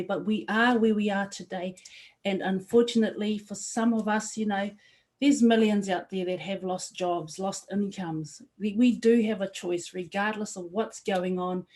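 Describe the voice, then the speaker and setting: A young woman from New Zealand giving an earnest promotional presentation for her company, often pausing on ums and restarting phrases. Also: mid-range voice, darkish tone.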